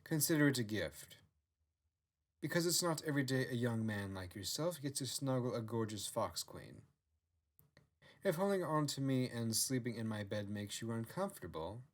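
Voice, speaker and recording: A man's voice: mid-range voice, lively intonation, moderate pace, close to an everyday voice. A man voicing a proud, self-assured fox queen who commands and coaxes in a storybook narration. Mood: coaxing, proud.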